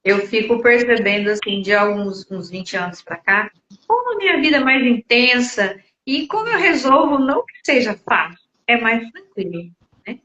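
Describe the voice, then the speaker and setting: A woman's voice: fairly high pitch, lively intonation, moderate pace, bright, forceful tone, roomy sound, a little hiss or hum. A Brazilian woman in her sixties reflecting casually in conversation as a podcast guest, searching for words as she goes. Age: 60s. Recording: slightly dull lo-fi audio.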